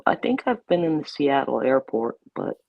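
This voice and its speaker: A woman chatting as a guest, playful and quick to laugh, telling stories with animation. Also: extremely low pitch, sing-song, vocal fry, dry recording, phone-quality sound. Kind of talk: casual chat.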